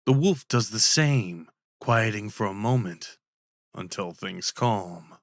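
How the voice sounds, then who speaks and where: A man reading a scripted fantasy roleplay story in a measured, deliberate storytelling manner, in an ASMR style. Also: on the low side, animated, no echo, clean studio sound. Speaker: audio roleplay narrator.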